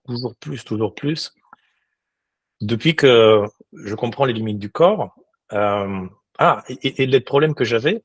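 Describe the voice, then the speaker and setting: Un homme d'une quarantaine d'années, invité d'un podcast, qui parle sérieusement avec beaucoup d'hésitations et de reprises. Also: male voice, mid-range voice, dry recording. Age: 40s. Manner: earnest, many restarts and hesitations. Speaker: guest. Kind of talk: casual interview talk.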